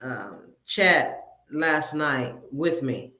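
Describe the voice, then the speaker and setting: A woman calling into a livestream over a video call, asking pointed questions in a deliberate way. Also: low-pitched, lively intonation, pushed and bright, echoey room. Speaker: livestream guest. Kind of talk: casual livestream talk.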